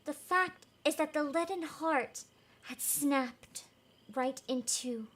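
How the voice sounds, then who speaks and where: A woman's voice: high-pitched, takes it slowly, a bit bright. A woman reading a fairy tale aloud as host, in an emotive, giggly way with casual reactions.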